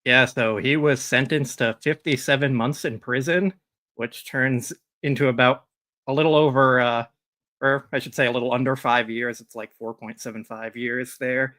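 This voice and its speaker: A man co-hosting a casual sports chat, giving news in an even, matter-of-fact way. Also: medium pitch, moderate pace. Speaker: co-host, managing editor.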